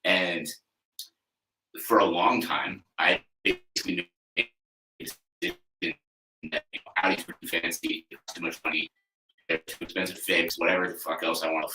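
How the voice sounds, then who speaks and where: Man in his thirties, low and bright, rambling casual podcast talk, a bit off-mic in a reverberant room. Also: normal speed, pauses often, slight background noise. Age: 30s. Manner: conversational, loose, frequent "you know" and "like" fillers, occasional swearing. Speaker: athlete coach, podcast host. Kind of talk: casual solo podcast monologue. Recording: echoey room, mic at a distance.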